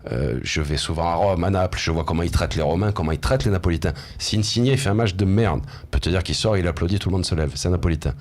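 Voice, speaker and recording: Guest, very deep voice, rapid speech, Marseille accent, stutters into sentences. brief. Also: male voice. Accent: Marseille French. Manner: fast, repeats words when starting, passionate. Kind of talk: animated football discussion.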